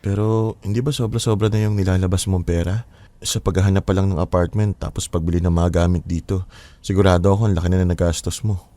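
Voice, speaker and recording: A young boyfriend acted by a man in a radio drama, affectionate but hesitant and apologetic. The voice is deep and warm, recorded close and dry. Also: level tone, quick pace, no room sound, lightly acted. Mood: worried. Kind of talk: Filipino radio drama acting.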